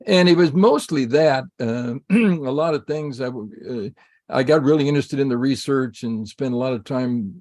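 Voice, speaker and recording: A man's voice: mid-range voice, normal speed, darkish tone. Older male guest in his seventies, rambling, restarts a lot, heard over a video call. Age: 70s. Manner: rambling, many restarts and fillers. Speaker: psychologist guest. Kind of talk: conversational interview answers.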